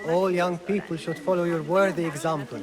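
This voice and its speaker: Man playing a high-voiced, devious scholarly gent. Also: steady tone, a little fast, a crowd in the background, lightly acted. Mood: courteous, probing. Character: polite, smooth scholarly man with devious, cowardly streak. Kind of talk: video game voice acting.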